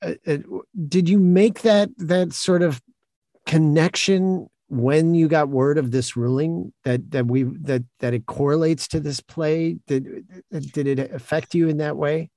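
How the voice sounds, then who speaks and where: A man's voice: fairly high pitch, steady tone, unhurried, dark tone, dry recording. A man hosting a podcast, introducing and interviewing guests in a conversational way.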